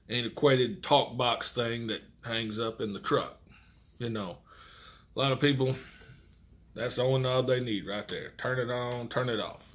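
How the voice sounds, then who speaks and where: A man's voice: medium pitch, fairly bright tone. An American man who works as a truck driver, giving casual, rambling tips in blunt, profane, folksy vernacular. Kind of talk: casual rambling vlog monologue.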